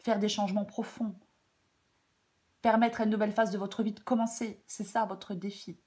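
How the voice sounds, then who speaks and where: Slightly hoarse French woman reading tarot. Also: mid-range voice, level tone, normal speed, warm tone. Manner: hesitant, many fillers and repeated phrases. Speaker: tarot reader. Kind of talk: casual spoken tarot guidance.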